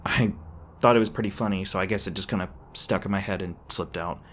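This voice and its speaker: A man acts out an edgy monster hunter in an audio roleplay. His voice sits fairly low, and his talk is sarcastic and brash. Underneath he is protective and soft-hearted, stumbling over words when flustered. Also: fast. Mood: shy, tender. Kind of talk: ASMR roleplay voice acting.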